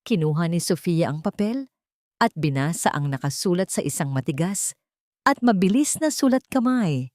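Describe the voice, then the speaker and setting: A woman narrating a scripted dramatic story in a measured, solemn manner. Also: on the low side, big pitch swings, a little mellow, no room sound, studio quality.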